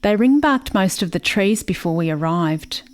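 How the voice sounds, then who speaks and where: A woman's voice: medium pitch, animated, normal speed, natural voice. A woman playing a dreamy, haunted settler girl in a radio play, speaking in an incantatory, repetitive monologue. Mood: dreamy, wistful. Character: haunted, dreamy settler girl mourning her lost brother.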